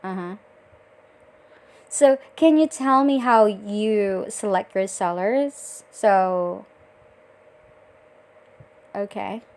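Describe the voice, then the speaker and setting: A woman from the Philippines with a Filipino accent, speaking politely and deliberately as a virtual assistant on a scripted sales call. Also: mid-range voice, a little mellow. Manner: polite, deliberate, frequent acknowledging murmurs.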